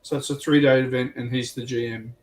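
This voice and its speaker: A man co-hosting a casual livestream, talking in a halting, thinking-aloud way. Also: mid-range voice, level tone, far from the mic. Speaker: livestream co-host. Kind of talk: casual hobby chat.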